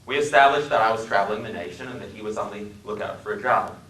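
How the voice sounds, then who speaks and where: A man from Wisconsin giving a dramatic reading in a library, measured and dryly wry in his storytelling. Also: on the low side, fairly bright tone, roomy sound, far from the mic, a little hiss or hum. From Wisconsin, USA. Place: public library room.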